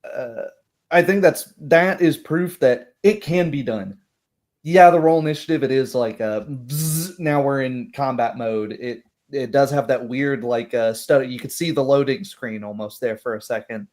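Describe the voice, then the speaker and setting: A man's voice: medium pitch, moderate pace, a little mellow. A man chatting casually in a group, explaining his thoughts on a tabletop game and often checking for agreement.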